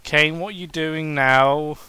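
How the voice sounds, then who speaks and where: A man puts on a small bearded grumbler from an amateur audio story. He is whiny and indignant, complaining like a child, with a bright, forceful voice that sits fairly high. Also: fairly even intonation, slow, clean tone, no echo. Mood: suspicious, sarcastic. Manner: whining, yelling, sarcastic.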